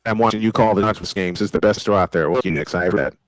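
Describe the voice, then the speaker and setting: A man's voice: on the low side, very fast, no echo. Man calling baseball play-by-play, dry close sound. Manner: old-school sportscaster delivery. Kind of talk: live play-by-play commentary with casual asides.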